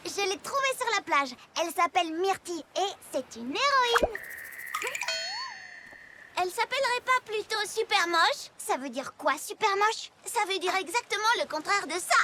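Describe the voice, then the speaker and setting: A woman's voice: extremely high pitch, lively intonation, moderate pace, background noise. A chatty little cartoon girl. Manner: bright, eager, chatty. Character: lively young girl, curious and chatty. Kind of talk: cartoon voice acting. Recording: music behind the voice.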